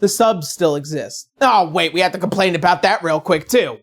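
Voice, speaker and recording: A woman's voice: fairly low pitch, moderate pace. A woman delivering scripted, opinionated commentary as a video essayist, in a sarcastic, blunt and profane manner.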